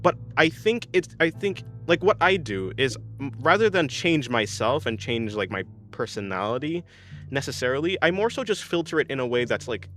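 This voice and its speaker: A man's voice: mid-range voice, expressive, moderate pace, a bit bright, faint music behind. A young man streaming while he draws, reading chat aloud and answering in a rambling, casual way full of fillers and restarts. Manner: rambling, many restarts and fillers, laughs often.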